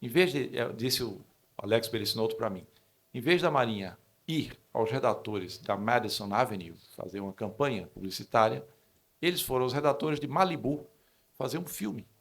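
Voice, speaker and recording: A man's voice: mid-range voice, expressive, moderate pace, vocal fry, fairly bright tone. A Brazilian man speaking as a panellist, talking conversationally in the manner of someone telling an anecdote. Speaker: panel speaker, advertising creative.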